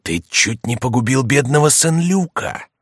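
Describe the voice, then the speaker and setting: A man's voice: mid-range voice, expressive, husky, no room sound, studio quality, pitched above the performer's own voice. A man voicing a stern, mysterious heavenly accuser, solemn and threatening, in an audiobook. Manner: slow, menacing, solemn.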